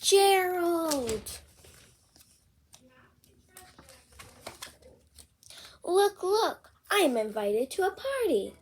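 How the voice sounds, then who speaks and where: A young female reader voicing an excitable young pig from a storybook, exclaiming emphatically as she reads aloud. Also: very high voice, takes it slowly, slight background noise, voice pushed up, heavily acted. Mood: excited. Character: excitable young piggy, eager for a party.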